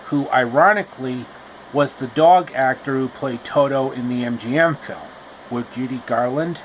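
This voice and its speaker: A man retelling a classic fairy tale in a casual storybook style, with slow, repetitive explanatory asides. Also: medium pitch, slight background noise, rough recording.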